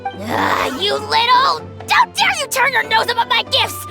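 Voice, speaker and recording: Tiny, very shrill, haughty creature. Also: female voice, wildly swooping pitch, a little slow, vocal fry, heavily acted. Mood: indignant. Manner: bratty, theatrical exclamations. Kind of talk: video game character acting. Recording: loud music behind.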